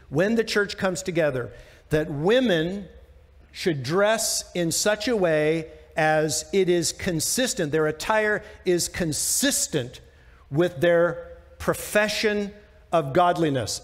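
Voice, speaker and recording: Male American pastor, sixties, conversational and emphatic, slightly high voice. Church hall reverb. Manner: informal, emphatic, with rhetorical questions. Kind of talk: conversational verse-by-verse Bible teaching. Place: church sanctuary stage, indoors.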